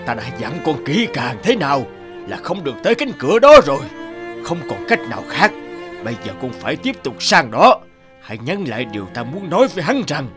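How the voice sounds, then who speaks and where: A man's voice: medium pitch, fairly bright tone. A man voicing a fierce but protective dragon lord in a cartoon fairy tale, solemn and commanding.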